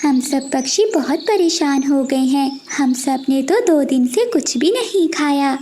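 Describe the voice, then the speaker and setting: A woman's voice: high voice, lively intonation, unhurried, warm tone, a little hiss or hum. A woman voicing a kind little female sparrow, earnest and pleading, in a children's cartoon. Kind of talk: animated children's moral story dialogue.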